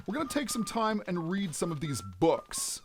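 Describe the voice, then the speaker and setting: A man's voice: sits high, wildly swooping pitch, normal speed, background noise. A man giving video game let's play commentary in a theatrical, explanatory manner as the host. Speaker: let's player, host of the series.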